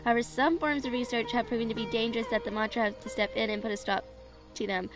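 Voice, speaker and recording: A woman in her twenties on a casual game livestream, chatting and reading dialogue aloud in a halting, rambling way. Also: on the high side, fairly even intonation, music in the background. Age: 20s. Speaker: game streamer.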